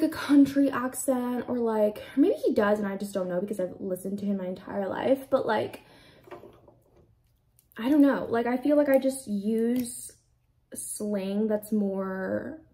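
A young American woman in her twenties chats through her makeup routine in a small room, the camera close in front of her. Her voice sits a little high but sounds soft and mellow. She rambles casually and leans on "like" as she talks. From United States. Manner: chatty, rambling, heavy use of "like". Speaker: beauty vlogger. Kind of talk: casual get-ready-with-me chat. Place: small indoor room with a plain backdrop.

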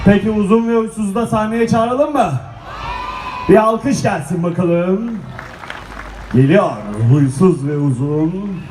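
A man's voice: high-pitched, unhurried, slight background noise. A man hosting a children's stage event, rallying the audience with exclamations and prompts. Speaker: children's show host. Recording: echoey hall, loud music and crowd.

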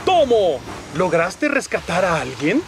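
A man's voice: sits high, big pitch swings, a little slow, background noise, exaggerated voice. A man voicing a kindly, reassuring friend in a children's cartoon, in Latin American Spanish. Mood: friendly. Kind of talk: children's animated series voice acting. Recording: music behind the voice.

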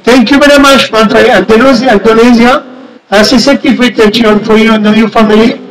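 A man from France with a French accent, a native French speaker, making a radio contact as an amateur operator in a halting, repetitive way. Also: very high voice, steady tone, poor audio. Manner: halting, repeats numbers and phrases.

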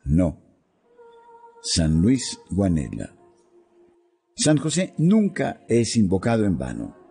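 Solemn guy reading devotions slow, kinda husky voice, faint music behind. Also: low voice, wildly swooping pitch. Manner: solemn, measured reading with pauses. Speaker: devotional reader. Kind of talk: slow scripted devotional narration. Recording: soft music underneath.

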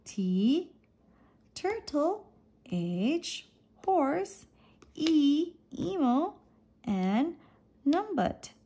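A woman reading an alphabet picture book aloud for children, deliberately setting apart each letter and phrase. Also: high-pitched, big pitch swings, warm tone.

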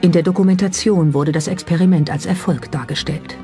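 Documentary narrator reading a script, music clearly playing underneath. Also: mid-range voice, fairly even intonation, fairly quick, dark tone.

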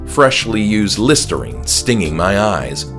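A man reading a scripted first-person horror story as an audiobook narrator, in a measured, dramatic way. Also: lowish voice, big pitch swings. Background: music.

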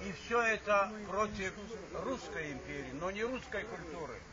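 A man's voice: high voice, lively intonation, normal speed. Older man, rambling reunion speech. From Azerbaijan. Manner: rambling, repeats himself, stops to restart. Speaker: alumni speaker. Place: assembly hall. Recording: dull lo-fi recording with chatter from the audience.

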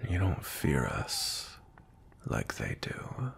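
A man playing a cold, grumpy vampire knight captain in an intimate ASMR roleplay, with a breathy, husky voice and a brooding manner. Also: extremely low pitch, wildly swooping pitch, extremely slow, full character voice. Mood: softening, tender. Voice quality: breathy, husky, often near whisper.

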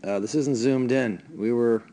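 A man coaching a young ski racer in a voice-over, talking over video in a relaxed, hesitant way with many fillers. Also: mid-range voice, unhurried.

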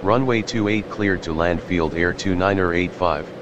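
A man voicing a businesslike airline pilot in a game, giving flat, clipped procedural readbacks to the tower. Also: sits low, normal speed, muffled recording, lightly acted. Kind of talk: clipped aviation radio readbacks. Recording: aircraft noise behind.

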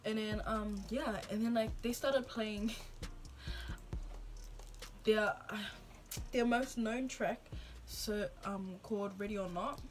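A woman's voice: medium pitch, takes it slowly, long pauses. Chatty young New woman. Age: young adult. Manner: chatty and rambling, peppered with "like". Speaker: vlogger. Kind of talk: casual reaction vlog chat. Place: small room indoors.

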